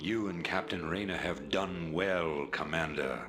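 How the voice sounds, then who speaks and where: A man acting a calculating middle-aged rebel leader in a video game, speaking in a measured, formal, authoritative way. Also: low-pitched, music in the background. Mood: commanding.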